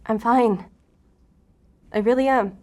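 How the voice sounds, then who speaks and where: A woman's voice: fairly high pitch, fairly even intonation, takes it slowly, a little mellow. Sardonic, guarded woman agent. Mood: uneasy. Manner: sardonic, deflecting, casual and blunt. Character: guarded, sarcastic female agent in forced therapy. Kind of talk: naturalistic audio drama acting.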